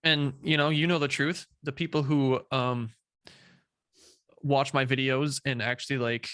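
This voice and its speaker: A young man talking as a guest in a relaxed interview, hesitant and full of fillers and restarts. His voice sits at an everyday pitch, and the sound is dry and close. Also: smooth, no room sound. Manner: halting, many fillers and repeats. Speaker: guest, social media creator. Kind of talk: casual interview chat.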